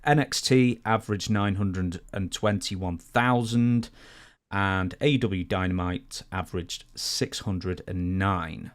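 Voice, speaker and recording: Lower-voiced male podcast co-host. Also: slow. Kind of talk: casual news recap and commentary.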